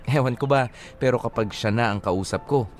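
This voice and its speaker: A man playing a troubled young working man, narrating his letter in an earnest, measured way for radio drama. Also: medium pitch, natural voice. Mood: earnest, reflective. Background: music.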